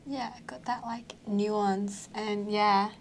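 A woman's voice: mid-range voice, flat delivery, slow pace, a little hiss or hum. A woman in her twenties hosting a casual chat, playful and encouraging with her guest. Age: 20s. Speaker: interviewer, podcast host. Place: small indoor meeting room.

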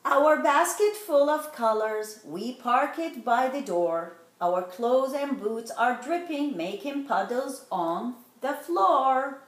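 Slow, high-pitched woman reading to children. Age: middle-aged. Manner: expressive, slow and deliberate, stretching rhyming words. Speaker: storyteller reading a picture book to children. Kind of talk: animated children's read-aloud. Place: living room at home, indoors. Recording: roomy home recording.

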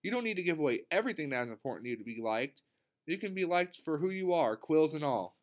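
Man voicing a kindly lady owl schoolteacher, raised pitch. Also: sing-song, fast, dull audio, slight background noise. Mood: gentle.

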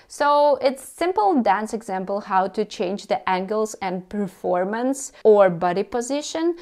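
A woman in her thirties speaks with a Russian accent, her first language Russian showing through her English. She explains tips straight to the camera in an animated way, hands moving as she talks, on a lapel mic in an indoor room. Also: medium pitch. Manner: animated, gesturing with both hands. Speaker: dance teacher. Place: indoor room before a carved screen.

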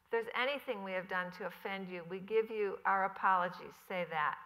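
An earnest farm mother prays aloud, her tone pleading and sincere, as a woman reads her part. When she turns to her child she softens into a tender, reassuring voice. Her tone stays bright throughout. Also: medium pitch, fairly quick, natural voice, rough recording. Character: devout farm mother praying earnestly, worried.

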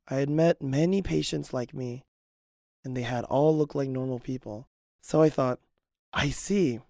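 Male narrator for personal testimony, earnest and measured, gravelly edge, close dry sound. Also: mid-range voice, warm tone, no room sound.

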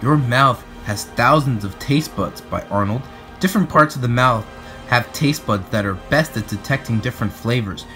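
A man reading aloud to children in an expressive, playful storybook style. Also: medium pitch, normal speed, soft background music. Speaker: storybook reader. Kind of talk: animated read-aloud narration for children.

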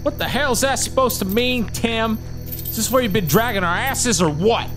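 A man's voice: very high voice, normal speed. An actor playing a hot-tempered, profane outlaw who threatens his hostages. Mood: bossy. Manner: threatening, profane, bossy drawl. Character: menacing Southern outlaw man, hot-tempered kidnapper. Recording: background music under the dialogue.

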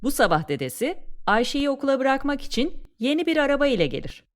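A woman's voice: high-pitched, very animated, fast. A woman narrator reading scripted, storybook-style narration for an educational cartoon. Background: light music.

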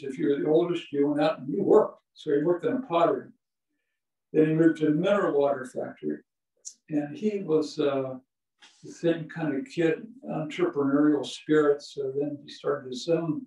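A man's voice: fairly high pitch, dark tone. An older man giving a chatty, anecdote-filled lecture, chuckling now and then as he tells his stories. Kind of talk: storytelling museum lecture. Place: small museum meeting room, indoors. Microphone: far camera, no visible mic. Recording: echoey room from far off.

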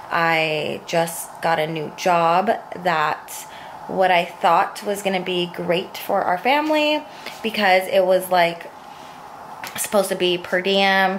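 Chatty young woman, slightly raspy voice. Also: fairly low pitch, a little hiss or hum, rough recording. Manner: rambling, chatty, many likes and asides. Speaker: vlogger. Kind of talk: casual chat. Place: home kitchen, indoors. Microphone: handheld phone camera at arm's length.

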